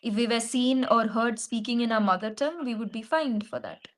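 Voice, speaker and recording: A young woman from Kerala with an Indian English accent, an English tutor speaking in a measured, reflective way in a practice conversation. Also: on the high side.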